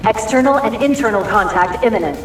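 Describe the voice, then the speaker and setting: A woman's voice: medium pitch, expressive, brisk. A woman playing a formal, clipped military ship announcer over an echoing public-address system in a video game. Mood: urgent, formal. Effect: echoing public-address speaker. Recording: echoey, distant announcement over loud music.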